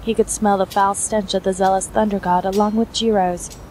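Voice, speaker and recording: A woman's voice: medium pitch, moderate pace. A woman reading a scripted story aloud as narrator. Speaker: fan fiction reader, narrator. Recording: noisy hobby recording, steady background noise.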